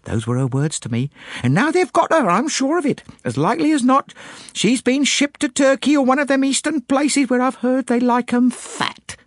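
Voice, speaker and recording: Young English maid, working-class, high voice, swooping intonation, excitable, gossipy, breathless, audiobook character. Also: male voice, unhurried, breathy edge, dry recording, studio quality, exaggerated voice. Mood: excited, alarmed. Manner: breathless, chatty, colloquial.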